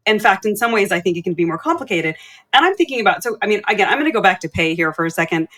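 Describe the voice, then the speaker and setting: A woman's voice: medium pitch, lively intonation, rapid-fire, fairly bright tone. A woman co-hosting a business podcast, asking reflective, candid questions of her guest. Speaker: podcast co-host. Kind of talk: conversational podcast interview.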